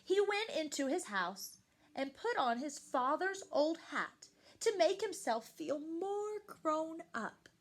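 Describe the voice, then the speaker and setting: A woman reading a picture book aloud to young children, expressively and with questions to the listeners. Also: high voice. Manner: animated, slow and deliberate, addressing young children.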